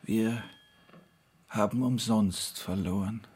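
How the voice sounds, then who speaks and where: An actor playing a resigned, brooding man in a radio drama, muttering haltingly in a husky, breathy, rough voice. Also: lowish voice, darkish tone. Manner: halting, muttering, long pauses. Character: resigned, brooding man drinking and musing alone.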